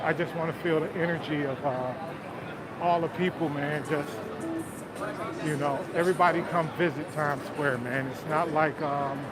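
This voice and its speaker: A man's voice: fairly high pitch, moderate pace. A man with a Southern US accent, chatting casually with viewers on a phone livestream while he walks outdoors. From Detroit, Michigan. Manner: relaxed, chatty, answers viewer comments, often says "man". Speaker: livestream host. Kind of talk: casual live-stream chat while walking. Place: outdoors on busy city streets. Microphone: phone held while walking. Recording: street noise. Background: city traffic and crowd sounds.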